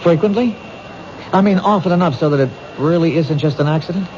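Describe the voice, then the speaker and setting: An actor playing a patient, thoughtful police detective who asks gentle, probing questions in a 1970s radio drama. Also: male voice, on the high side, brisk, lightly acted. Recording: dull old radio broadcast, noisy.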